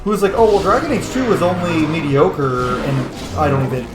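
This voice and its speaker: A man's voice: fairly high pitch, brisk, loud music behind the voice. Opinionated man rambling in a roomy echo. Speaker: video game streamer. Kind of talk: casual gaming commentary. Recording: roomy echo with faint noise.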